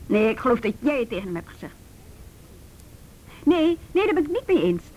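High-voiced policewoman in a radio play. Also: sing-song, brisk, darkish tone. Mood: doubting, firm. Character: female juvenile police officer, conscientious, plain-spoken, a little wry. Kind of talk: Dutch radio drama acting. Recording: noisy radio play recording with rumble.

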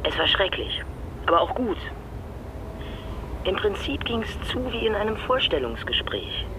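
A man's voice: sits high, sing-song, unhurried, background noise. An actor, a man, playing a candid academic in casual, conversational radio drama dialogue with a wry manner. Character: candid academic recounting a job interview.

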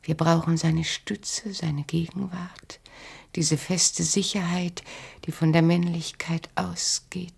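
An actress playing a lonely, seductive, shrewd queen who longs for her husband, breathy and coquettish, in a German radio play. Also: on the low side, little pitch movement, slow, full character voice. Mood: wistful, seductive. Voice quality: breathy, creaky. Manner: languid, coquettish.

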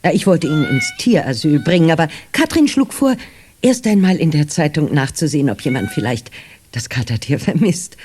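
An elderly grandmother in a children's radio drama. She is fussily polite and hesitant, stammering as she goes. Her pitch swoops up and down freely, over faint background noise. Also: very animated, moderate pace, darkish tone. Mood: chatty, polite. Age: older. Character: polite, flustered elderly grandmother returning a lost cat. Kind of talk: German children's radio play acting.

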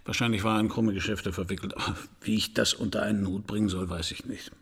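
An actor playing a world-weary, hard-boiled detective in a radio crime drama, with a gravelly voice and a dry, understated, probing manner. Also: male voice, lowish voice, fairly even intonation, fast talker. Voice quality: gravelly, husky. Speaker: actor in lead role and narrator.

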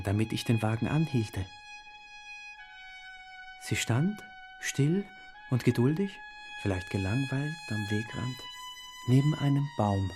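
An actor playing a brooding, captivated motorist who tells his story in a literary radio drama, in halting, intense short phrases. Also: medium pitch, lightly acted. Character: brooding male motorist narrator, captivated and yearning.

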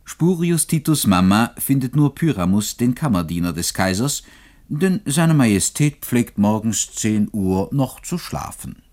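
A man giving measured, formal scripted narration for a radio play. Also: medium pitch, big pitch swings, a little mellow.

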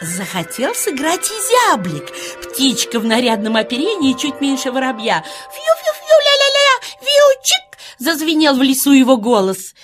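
High-voiced, rapturous auntie squirrel. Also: female voice, moderate pace, hardly any pauses, music in the background. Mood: animated storytelling. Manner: rapturous, expressive storytelling. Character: kindly auntie squirrel, enraptured storyteller. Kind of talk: children's audio play storytelling.

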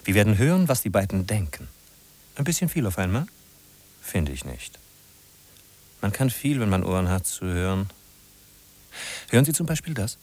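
Low-voiced, swooping radio play narrator. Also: male voice, big pitch swings, normal speed, pauses often, darkish tone. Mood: teasing, conspiratorial. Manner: rhetorical, emphatic, questioning then answering himself. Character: narrator addressing the listener, urgent, musing guide to a big city. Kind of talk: 1950s German radio drama narration.

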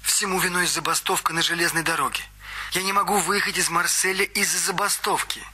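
A man's voice: high voice, steady tone, a little fast, bright, forceful tone, breathy edge, heavily acted. An actor playing an anxious young man on the run from killers, asking urgent, worried questions in a radio play.

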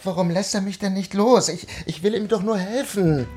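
Actor as a flustered young man, pleading and overwhelmed, soft music underneath. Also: sits high, natural voice. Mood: anxious. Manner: pleading, anxious, slow. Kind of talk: radio drama acting.

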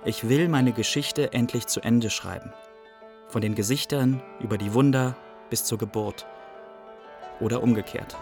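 An actor playing a melancholy young Iraqi refugee, narrating reflectively and in measured phrases in a literary radio drama. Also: male voice, mid-range voice, darkish tone, faint music behind, close to an everyday voice. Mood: resigned, wistful. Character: melancholy young Iraqi refugee recounting his life in Germany.

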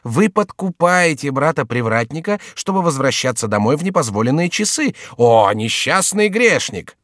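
A man voicing a sly, teasing court jester with excitable, mock-indignant exclamations in a storybook reading. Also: on the high side, normal speed, no room sound, clean studio sound, voice pushed up. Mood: sly, excited. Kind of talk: dramatised audiobook character acting.

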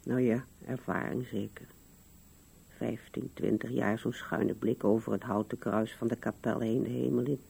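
Old widowed woman, radio drama, husky, soft-toned, wistful, musing monologue. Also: rumbling low, fairly even intonation, normal speed, heavily acted. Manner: musing, talks as if to absent loved ones.

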